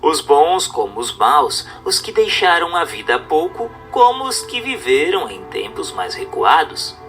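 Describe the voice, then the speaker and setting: A man's voice: a little high, pushed and bright. A man with a Brazilian accent reading a book aloud as scripted narration, in a formal, didactic manner. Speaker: audiobook narrator.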